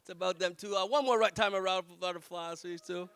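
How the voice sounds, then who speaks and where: A young man performing a stand-up comedy set at a wedding reception on a handheld mic, telling animated stories and laughing at his own jokes. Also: high voice, quick pace, smooth, no echo. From Jamaica. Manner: animated storytelling with self-amused laughs.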